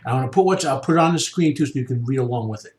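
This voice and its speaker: A man's voice: mid-range voice, darkish tone. Man commenting in a very echoey room. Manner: halting, checks in with "okay?". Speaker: podcast host. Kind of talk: informal explanatory commentary.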